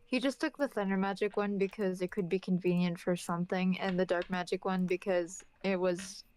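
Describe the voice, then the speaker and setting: A woman's voice: lowish voice, fairly even intonation, brisk, a little mellow, poor audio. A woman casually explaining her character as a player in a tabletop game. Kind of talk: casual chat.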